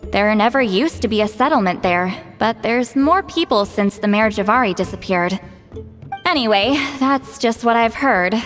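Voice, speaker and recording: An actress playing a wise, wry elderly woman who guides spirits, speaking in an unhurried storytelling way for a video game. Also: on the high side, lively intonation, soft background music, natural voice. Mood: solemn storytelling.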